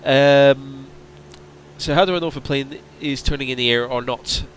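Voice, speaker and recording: A man streaming a flight simulator, chatting casually and answering viewers' questions while his voice starts to strain and go hoarse. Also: medium pitch, fairly even intonation, normal speed, a little hiss or hum. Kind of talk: casual livestream chat.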